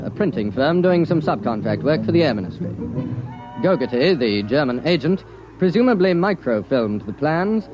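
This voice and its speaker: An actor playing a matter-of-fact narrator reading an official report in 1950s radio drama style. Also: male voice, fairly high pitch. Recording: muffled old radio recording over loud music.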